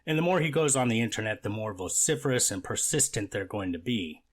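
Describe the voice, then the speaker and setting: A man playing a skeptical judge in a courtroom readers theater, challenging the lawyer bluntly with halting restarts. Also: lowish voice, expressive, husky. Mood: exasperated, blunt. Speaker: actor reading a judge.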